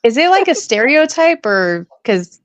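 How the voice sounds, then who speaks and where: A woman's voice: fairly high pitch, sing-song, a little slow, no echo. Curious, giggly woman co-host. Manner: curious, asks questions and tells stories with giggles. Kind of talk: casual chat.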